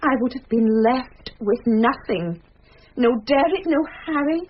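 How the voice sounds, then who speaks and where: An actress playing a distraught, pleading titled lady with a husky voice in a radio drama. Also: sits high, lively intonation, measured pace, exaggerated voice. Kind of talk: British radio drama acting. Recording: muffled radio drama recording.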